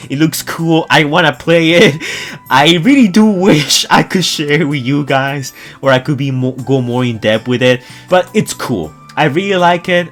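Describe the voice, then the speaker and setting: A man talking informally and excitably to his viewers as a gaming news YouTuber, in a casual, enthusiastic commentary style. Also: on the high side.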